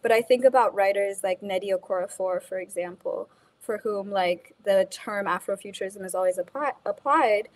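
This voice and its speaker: A woman's voice: medium pitch, normal speed, slight background noise, rough recording. A woman from Kenya, raised in the States, answering interview questions in a casual, thoughtful way with frequent "like" and "um" fillers. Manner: many fillers like "like" and "um", restarts. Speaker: guest speaker.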